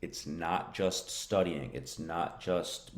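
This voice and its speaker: A deep, low-pitched American man leads a live English lesson, answering viewers' questions as they come in. He talks slowly and encouragingly, repeating his main points so they sink in. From United States. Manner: slow, encouraging, repeats key phrases. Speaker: English teacher.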